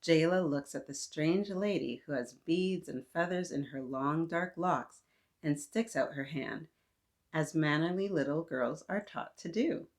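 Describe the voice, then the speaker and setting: A woman's voice: low-pitched, a little slow. A woman narrating a children's picture book expressively as a storytime reader.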